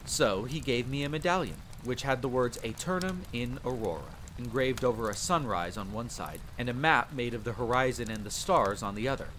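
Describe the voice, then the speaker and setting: A man's voice: medium pitch, lightly acted, rough recording. A man playing a young, brash but good-natured vampire hunter and former street thief, telling his story informally and theatrically in an audio roleplay. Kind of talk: dramatic campfire storytelling roleplay. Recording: steady rain and fire ambience noise. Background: rain, rolling thunder, campfire ambience.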